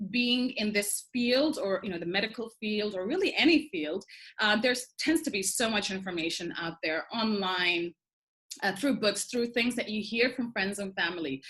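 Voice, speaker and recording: A woman's voice: mid-range voice, normal speed. A woman in her thirties, originally from Sudan and raised in Dubai, speaking as a doula guest on a video-call interview, explaining at length with many ums. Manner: warm, explanatory, frequent ums.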